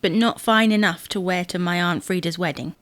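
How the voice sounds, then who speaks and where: A woman's voice: lowish voice, fairly quick, no echo, lightly acted. A woman playing a fussy, exasperated wife who bickers insistently in a domestic audio sitcom. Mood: indignant, anxious. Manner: bickering, insistent, theatrically indignant. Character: fussy, exasperated wife fretting over wedding clothes.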